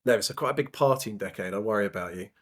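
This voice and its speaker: A man hosting a wine merchant's podcast, introducing colleagues in a casual, halting way with many ums and restarts. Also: lowish voice. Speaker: podcast host.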